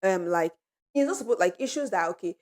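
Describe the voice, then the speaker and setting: A woman rattles along at a quick clip in a relaxed podcast conversation. She tells her stories with animation and laughs freely as she goes, her voice sitting at an ordinary middle pitch. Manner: animated storytelling, laughs often. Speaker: podcast co-host. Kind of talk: casual chat among friends.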